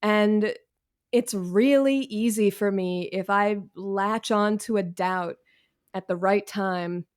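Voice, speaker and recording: A woman's voice: mid-range voice, fairly even intonation, slow pace. A woman answering interview questions as a writer, candid and self-deprecating, with slangy, conversational phrasing. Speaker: guest, professional writer.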